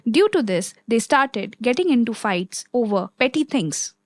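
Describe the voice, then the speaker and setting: A woman's voice: on the high side, very animated, unhurried, no room sound, faint music behind. A woman narrating a scripted moral story for listeners.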